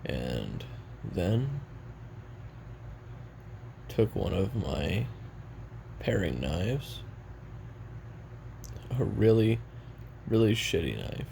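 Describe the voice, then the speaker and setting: An actor playing a weary, regretful young assassin in an audio roleplay, making a halting, brooding confession in a gravelly voice. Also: male voice, lowish voice, very animated, dark tone, slight background noise, heavily acted. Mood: grim, matter-of-fact. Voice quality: gravelly, creaky. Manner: halting, brooding, casually profane.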